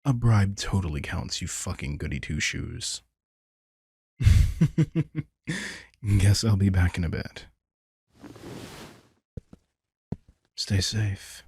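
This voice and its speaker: A male actor as a centuries-old vampire in an intimate roleplay audio drama. His deep voice crackles with fry and sits close and dry. He talks casually and sardonically, teasing but protective. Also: lively intonation, slow, long pauses, a little mellow, no room sound, full character voice.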